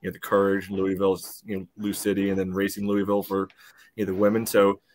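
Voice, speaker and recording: An American man with a lowish voice talks sport in a relaxed podcast exchange. He is measured, qualifying his points as he goes. A faint noise sits behind his voice. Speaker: soccer podcast panelist. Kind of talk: casual sports podcast discussion.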